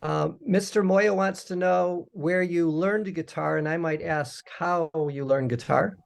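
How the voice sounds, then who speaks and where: A man's voice: on the high side, measured pace, gravelly. A man hosting an interview, asking questions and reading out viewer comments.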